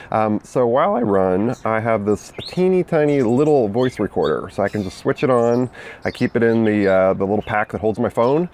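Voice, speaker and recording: A man's voice: on the low side, a little hiss or hum. A man hosting a weekly fundraising tip, talking casually outdoors with many fillers and birds singing nearby. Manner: relaxed and chatty, many "uh" and "you know" fillers.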